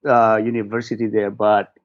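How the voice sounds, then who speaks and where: A man's voice: fairly low pitch, level tone, moderate pace. A man in his forties from Indonesia, a guest chatting casually in an interview, hesitant with many fillers and restarts, on a dull lo-fi recording. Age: 40s. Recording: dull lo-fi sound.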